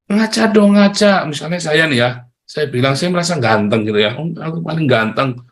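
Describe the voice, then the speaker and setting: A middle-aged man from Tegal with a Tegal accent, giving a lively motivational talk online, speaking straight to his listeners and acting out the voices he quotes. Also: on the high side, normal speed. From Tegal, Central Java, Indonesia. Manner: animated, addresses listeners directly, acts out quoted voices, chuckles often.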